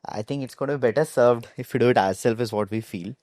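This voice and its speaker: A young man with an average-pitched voice. He talks as a startup founder being interviewed, and the recording is very dry. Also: fast, a little mellow, dry recording. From India. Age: young adult. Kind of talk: business interview.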